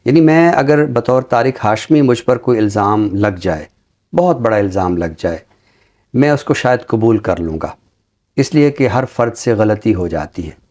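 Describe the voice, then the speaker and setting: A man's voice: fairly low pitch, lively intonation, darkish tone. A middle-aged Pakistani man hosting a talk show, giving conversational literary commentary in formal, carefully chosen words. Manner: formal, deliberate word choice. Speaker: talk show host, university teacher.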